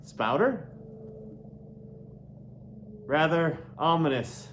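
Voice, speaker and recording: Male audiobook reader for a classic novel: very unhurried, theatrical, savouring words, slightly raised pitch. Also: big pitch swings, fairly bright tone, a little hiss or hum. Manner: deliberate, dramatic, lingers on and repeats words. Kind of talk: literary narration, theatrical reading of a classic novel.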